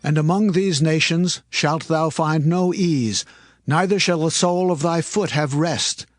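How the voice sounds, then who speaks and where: A man plays a solemn prophet leading his people in a scripture audio drama. He declaims each phrase deliberately, with grave weight. Music plays clearly behind his voice. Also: a little high, no room sound, studio quality, natural voice. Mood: grave warning. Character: Moses, solemn male prophet and leader addressing his people. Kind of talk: dramatized scripture reading in King James English.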